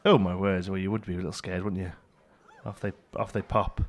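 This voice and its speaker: A man's voice: deep voice, little pitch movement, brisk. A British man hosting a let's play, chatting casually and wryly as he thinks aloud. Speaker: gaming video host.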